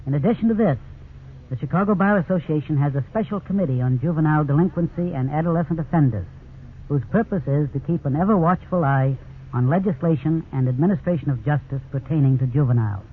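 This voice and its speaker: A man who is a law school dean gives scripted commentary in a formal, advisory manner. His voice sits somewhat high for a man, and it comes through a thin, tinny old broadcast with a faint hiss. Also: fast.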